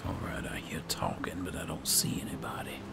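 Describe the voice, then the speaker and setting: Very deep gravelly man. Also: fairly even intonation, a little fast, in a whisper. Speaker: gamer playing in character. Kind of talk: casual roleplay gameplay commentary. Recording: clear background game ambience noise.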